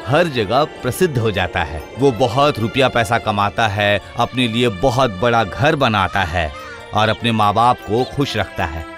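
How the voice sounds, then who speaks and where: A man's voice: on the low side, expressive, unhurried. A man narrating a scripted cartoon story. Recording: music behind the narration.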